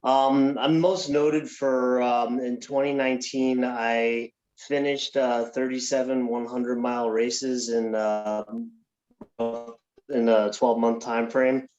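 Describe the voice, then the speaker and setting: A man's voice: medium pitch, little pitch movement, takes it slowly, darkish tone, soft background music. A man in his thirties, an ultra runner, speaking hesitantly with many fillers. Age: 30s. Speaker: ultra runner giving a testimonial. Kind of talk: testimonial interview. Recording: dull lo-fi recording.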